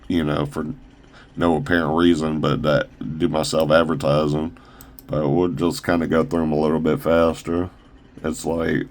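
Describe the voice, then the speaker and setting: A man casually showing off his own shoe designs on a phone camera, rambling with fillers and restarts, his voice a little raspy from talking a long time. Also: a bass voice, a little mellow. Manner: halting, loose, full of fillers and restarts. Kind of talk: casual rambling product showcase.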